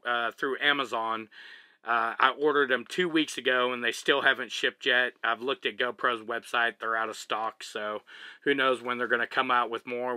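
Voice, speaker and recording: A man in his thirties with a Texan accent explains his camera rig step by step, relaxed and chatty. His voice is bright and sharp. It is recorded dry and close inside a small truck cab. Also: medium pitch, no echo. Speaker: vlogger. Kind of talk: casual gear demonstration. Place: small living cabin of a truck, indoors.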